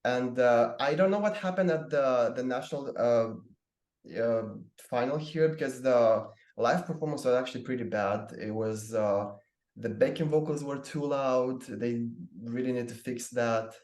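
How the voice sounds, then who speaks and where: A man from Moldova, a native Romanian speaker, talks casually on a video call panel. He hesitates and fills gaps with ums. His voice sits at a middle pitch and carries a roomy echo. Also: measured pace, darkish tone. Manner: hesitant, many fillers.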